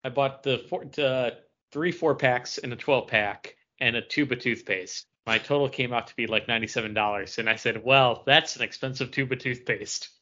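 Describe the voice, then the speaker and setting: A man's voice: mid-range voice, normal speed, pushed and bright. A man in casual banter as a podcast co-host. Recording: dull lo-fi podcast audio.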